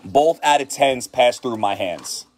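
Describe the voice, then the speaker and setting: A man hosting a card reveal from his shop, talking with excited hype and repeating his praise. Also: mid-range voice, unhurried, faint music behind. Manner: hyped, repeats himself. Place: shop desk indoors.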